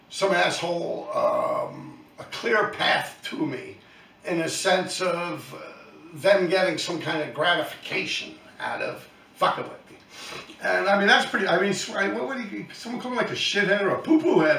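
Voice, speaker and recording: Gravelly New man in his fifties. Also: a little high, a bit bright, echoey room, distant mic, slight background noise, low-quality recording. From New York City. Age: 50s. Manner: blunt, profane, talks in loose run-on asides. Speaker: YouTube channel host, graffiti writer. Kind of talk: casual rambling monologue to viewers.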